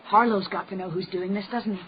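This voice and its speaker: A woman's voice: mid-range voice, fairly even intonation, lo-fi sound, background noise, lightly acted. An actress playing a determined 1920s woman reporter, insistent and challenging, in a detective radio drama. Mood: eager. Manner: insistent, quick-fire, challenging. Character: determined, pushy young female newspaper reporter in 1925.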